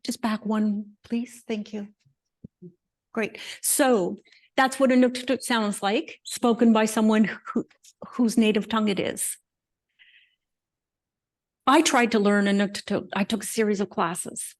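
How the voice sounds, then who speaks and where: An older woman, a native English speaker, giving a conversational slide lecture with frequent pauses and asides. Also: medium pitch, steady tone. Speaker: guest lecturer. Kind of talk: slide presentation talk.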